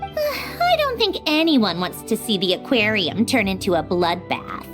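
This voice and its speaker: A woman's voice: medium pitch, wildly swooping pitch, a little slow, loud music behind the voice. A woman playing an aloof, teasing young woman with dry wit and a curt, haughty manner in an anime game.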